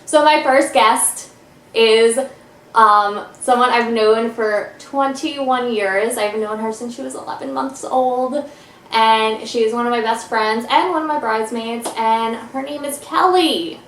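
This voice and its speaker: A woman's voice: fairly high pitch, a little slow, roomy sound, a little hiss or hum, poor audio. A woman in her twenties hosting a casual podcast from her family's living room, teasing her guest with lots of fillers. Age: 20s. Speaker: podcast host. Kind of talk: casual chat. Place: home living room by an electric fireplace.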